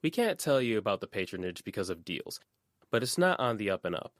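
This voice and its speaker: A man voicing a guarded, quietly confident teenage girl with a measured manner in an audiobook. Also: lowish voice, sing-song, no room sound, studio quality.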